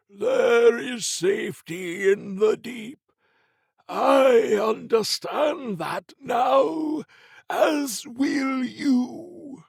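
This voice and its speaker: A man voicing an ominous sea-cult zealot in an audiobook, preaching in slow, strained pronouncements. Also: creaky, breathy edge, dry recording, heavily acted. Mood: fervent conviction. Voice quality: strained, high, loud delivery. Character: slow, ominous male sea-cultist zealot with orb-like eyes.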